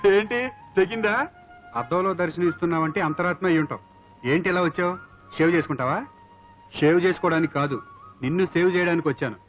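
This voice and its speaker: A man's voice: on the high side, fast talker, pauses often, natural voice. An actor playing an impatient, brusque young man in a Telugu comedy film. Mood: startled, brusque. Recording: dull film soundtrack, faint music.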